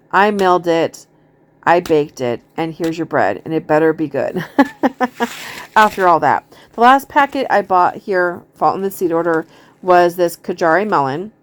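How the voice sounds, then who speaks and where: A woman talking casually as a gardening vlogger, in a rambling, conversational way. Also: on the low side. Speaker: gardening vlogger showing a seed haul. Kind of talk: casual chat, reading out seed packet notes.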